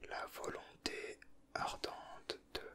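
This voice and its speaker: A man giving casual, teasing ASMR commentary in a breathy whisper, close to the microphone. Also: slow pace. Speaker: ASMR video creator.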